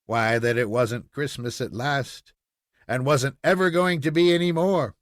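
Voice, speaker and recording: A man's voice: mid-range voice, a little slow, no echo, studio quality, close to an everyday voice. A man voicing a patient, teasing papa in a storybook, speaking with indulgent mock solemnity. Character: patient, teasing papa telling his little daughter a tale.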